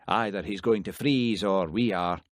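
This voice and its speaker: A man's voice: low voice, moderate pace, dry recording, natural voice. A man doing a dour, grumbling constable. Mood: gloomy. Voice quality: dry, grumbling. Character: dour Highland village policeman, wry and gloomy. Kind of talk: audiobook character voice.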